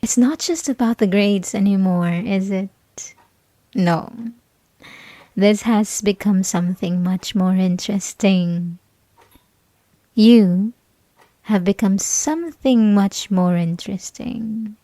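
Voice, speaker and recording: Possessive young female bully for ASMR roleplay, played by a woman: unhurried, mellow, condescending, sweetly menacing, close and dry. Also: medium pitch, dry recording, close to an everyday voice. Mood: smug, teasing. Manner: taunting, condescending, teasing, with breathy whispered asides. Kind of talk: ASMR roleplay, intimate scripted monologue to listener.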